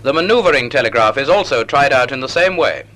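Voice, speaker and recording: Bright-voiced man, 1940s instructional narration. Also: medium pitch, expressive, fast talker. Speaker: narrator of a naval instructional film. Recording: 1940s film soundtrack.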